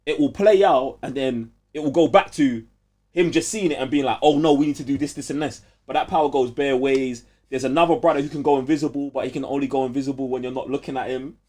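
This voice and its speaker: A man's voice: medium pitch, quick pace. A young man with a London accent co-hosting a podcast, chatting in heavy street slang and telling stories emphatically. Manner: animated, emphatic storytelling. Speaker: podcast co-host.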